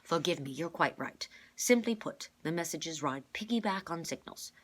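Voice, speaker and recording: A woman voicing a calm, clever older man in a storybook, measured and formal as he explains. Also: low voice. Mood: patient explaining.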